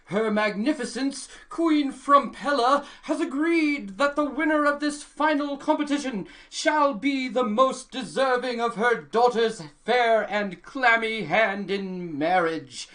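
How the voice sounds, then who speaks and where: A woman playing a pompous royal tournament herald in a comic radio play, making deadpan ceremonial proclamations. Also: on the high side, slow, hardly any pauses.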